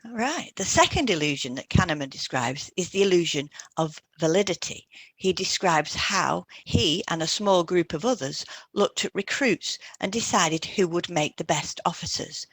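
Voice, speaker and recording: A woman with a fairly low voice co-hosts an educational podcast. She reads prepared explanations clearly aimed at teaching listeners, in a very dry recording free of room sound. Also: no echo. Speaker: podcast co-host and hypnotherapist. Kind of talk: scripted educational podcast read aloud.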